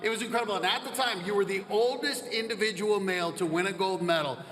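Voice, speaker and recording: A man's voice: high-pitched, fast, a bit bright. A man moderating a panel on stage, enthusiastic and joking with his guests. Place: stage in a large hall. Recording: long hall reverb.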